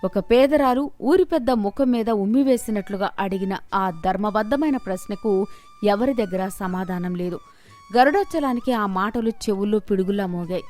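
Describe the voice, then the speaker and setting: A woman's voice: mid-range voice, normal speed, darkish tone. A woman delivering scripted narration of a moral story, with music behind her.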